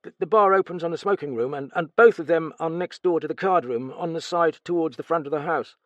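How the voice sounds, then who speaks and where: A man voicing a nervous, stammering male servant, speaking high above his usual voice. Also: brisk, dry recording, muffled recording, natural voice. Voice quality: stammering, frightened.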